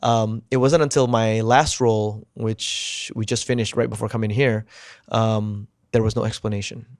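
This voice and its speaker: A man whose first language is Indonesian, an actor chatting as a guest, animated and acting out quoted remarks with lots of "like" fillers. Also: on the low side, moderate pace, no room sound, studio quality. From United States. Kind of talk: casual interview chat.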